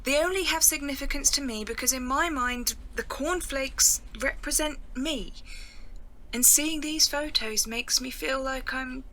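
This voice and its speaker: Earnest, high-voiced excitable young woman. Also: measured pace, poor audio. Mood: reflective. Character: earnest, self-deprecating young woman obsessed with cornflakes. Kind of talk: audio drama acting and confiding narration.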